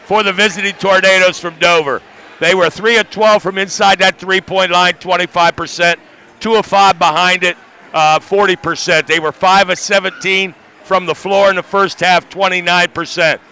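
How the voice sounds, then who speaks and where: High, bright, crisp man reading sports stats. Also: fairly even intonation, moderate pace. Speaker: coach giving team statistics on broadcast.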